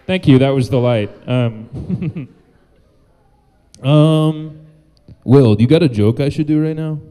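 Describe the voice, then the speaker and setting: A man's voice: medium pitch, takes it slowly, mellow, clear, resonant tone. A man doing a loose, rambling stand-up set on a club stage, laughing at his own jokes. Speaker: stand-up comedian and guest host.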